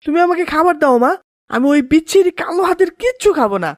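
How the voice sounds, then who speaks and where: A woman's voice: very high voice, expressive, quick pace, dry recording. A woman voicing a scornful young schoolboy, a rude older brother, in a children's cartoon. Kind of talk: children's moral cartoon voice acting.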